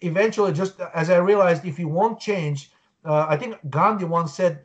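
A man's voice: high-pitched, moderate pace. Earnest man from Bulgaria on a call. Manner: earnest, explanatory, many restarts. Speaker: guest researcher. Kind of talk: interview over video call. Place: home room, indoors. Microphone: video call. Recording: dull lo-fi call audio.

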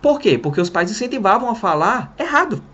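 A man's voice: sits high, brisk, slightly rough, highs cut off. A young man from Brazil giving a casual English lesson to camera, talking with his hands and stressing his points as he goes. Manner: lively, talks with his hands. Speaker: English teacher. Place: small room in front of a printed wall.

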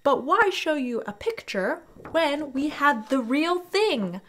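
High-pitched, slow-talking young woman, children's host. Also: a little hiss or hum. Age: young adult. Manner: animated, exaggerated enthusiasm for small children. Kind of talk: children's educational presentation.

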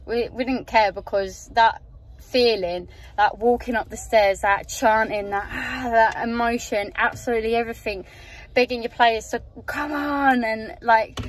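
A woman's voice: fairly high pitch, fairly even intonation, a little slow. Teary young woman in a car. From England, outside Yorkshire. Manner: emotional, gushing, voice wavering with tears. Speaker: football fan. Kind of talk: emotional vlog to camera. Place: inside a parked car at night. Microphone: phone camera held at arm's length.

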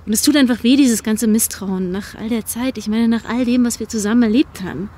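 Actress as a guarded, high-voiced young student. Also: female voice, a little fast, mellow, close to an everyday voice. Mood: hurt, earnest. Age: young adult. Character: guarded young female student, hurt and earnest. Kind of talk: naturalistic audio drama dialogue.

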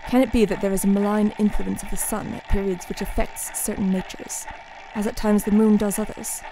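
A woman's voice: mid-range voice, little pitch movement, fairly quick, background noise, close to an everyday voice. A woman playing a grave, heartsick Victorian asylum doctor in a radio play, with formal, reflective delivery. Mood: brooding, reflective. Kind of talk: radio play acting, diary narration and dialogue.

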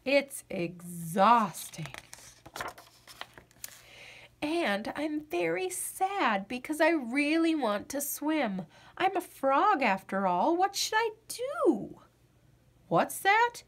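Woman voicing an anxious little boy frog, high-pitched. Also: drawn-out words, full character voice. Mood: worried, confiding. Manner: hesitant, confiding, expressive. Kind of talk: children's picture-book read-aloud.

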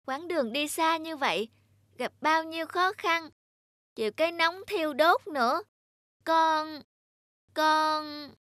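A woman's voice: high-pitched, slow, long pauses, fairly bright tone, no room sound, clean studio sound, pitched above the performer's own voice. A woman voicing a small, brave, anxious young raindrop boy in a cartoon, earnest and exclamatory. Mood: anxious pleading.

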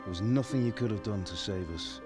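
A middle-aged man voicing a medieval blacksmith father in a game, speaking in a gentle, reassuring way. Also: on the low side, brisk, music in the background, lightly acted. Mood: tender.

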